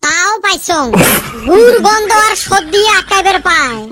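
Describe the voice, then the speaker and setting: A woman's voice: extremely high pitch, a little slow, loud music behind the voice. A woman with a Rajbongshi accent voicing a mouthy, bickering village youngster in a comic cartoon. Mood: teasing. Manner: teasing, insulting.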